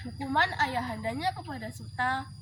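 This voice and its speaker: Storyteller: woman with a high-pitched voice, narrating a legend expressively and deliberately, noisy recording. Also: animated. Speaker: storyteller in a school storytelling contest. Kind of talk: expressive scripted folk-tale narration. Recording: clearly noisy background.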